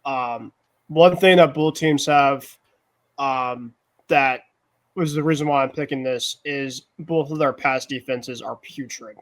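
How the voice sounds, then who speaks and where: A man's voice: medium pitch, measured pace. A man making casual game predictions as a sports panelist.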